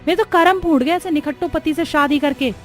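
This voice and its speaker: A woman's voice: sits high, fairly quick, voice pushed up, lightly acted. A woman voicing an exasperated, practical young village daughter-in-law in a cartoon story, complaining emphatically. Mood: angry, taunting. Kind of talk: Hindi cartoon story acting.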